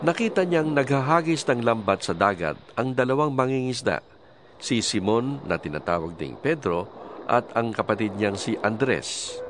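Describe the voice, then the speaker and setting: A man narrating a dramatized scripture reading in a measured storytelling manner. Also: medium pitch, animated, background noise. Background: music.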